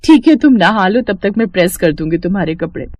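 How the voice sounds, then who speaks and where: Woman as an animated, obliging young bride. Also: mid-range voice, brisk, dry recording, lo-fi sound. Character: good-natured, joking young new bride from the city.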